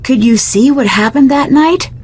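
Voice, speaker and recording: A woman's voice: high-pitched, normal speed, a little mellow, breathy edge. An actress playing a curious, polite young woman detective, asking questions in a video game. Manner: inquisitive, polite.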